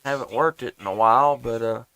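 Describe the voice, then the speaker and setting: A man's voice: mid-range voice, a little fast, fairly bright tone, no room sound. A man making casual amateur radio contacts, talking in a folksy, hesitant way. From Tennessee. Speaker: amateur radio operator, live streamer.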